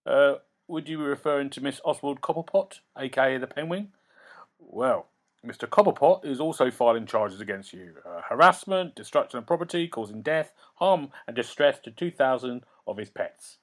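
A man voicing a nervous, fussy male city lawyer who stammers and talks fast. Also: expressive, close to an everyday voice. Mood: officious. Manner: stammering, high and hurried.

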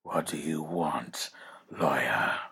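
A man voicing a brooding masked male vigilante, speaking in a low, gruff, whispered snarl. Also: slow pace, bright, forceful tone, exaggerated voice.

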